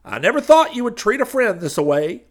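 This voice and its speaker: A man plays a smarmy radio preacher, his voice pitched way up and sweet. He wheedles and pleads in a lively, swooping drawl. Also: fairly quick, full character voice. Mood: wheedling. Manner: high sugary pleading voice, Southern drawl. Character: fast-talking oily male radio preacher, wheedling.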